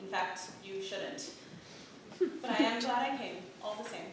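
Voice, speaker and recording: A woman's voice: a little slow, lightly acted. Woman acting an earnest, guarded wife, echoing stage. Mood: quiet, guarded. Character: weary, resolute, guarded wife keeping her husband's secrets. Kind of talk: staged play reading, period drama. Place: theatre stage. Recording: roomy echoing stage, distant mic, rumble.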